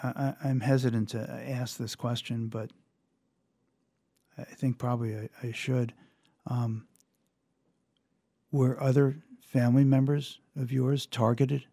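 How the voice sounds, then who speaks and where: A man interviewing a guest, asking gentle, careful questions. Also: medium pitch, flat delivery, unhurried, creaky, long pauses, no echo.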